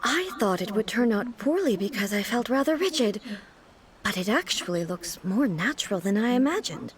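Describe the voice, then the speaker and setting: A woman playing a dignified, earnest, naively puzzled ruler in a video game, speaking in a formal, deliberate way. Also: fairly high pitch, very animated, crowd noise. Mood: astonished. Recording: music playing behind the voice.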